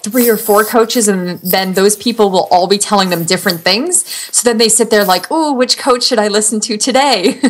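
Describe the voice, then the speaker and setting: A woman's voice: lowish voice, fairly bright tone. A woman from Canada, a blogger guest on a podcast, giving upbeat, earnest advice with frequent "like"s. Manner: upbeat, earnest, uses "like" often. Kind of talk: conversational advice.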